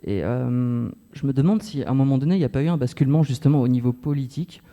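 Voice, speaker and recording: A man's voice: medium pitch, quick pace, dark tone. A man in his forties from northern France, speaking casually and hesitantly as an audience member, in a rambling, colloquial way. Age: 40s. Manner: hesitant, colloquial, rambling with many filler words. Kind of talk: casual spontaneous remarks.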